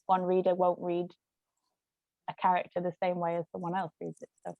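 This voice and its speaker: A woman's voice: on the low side, completely flat delivery, brisk, vocal fry, mellow. A woman chatting in a rambling way as a guest in a casual discussion. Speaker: guest author and actress. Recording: muffled lo-fi phone-like audio, roomy echo.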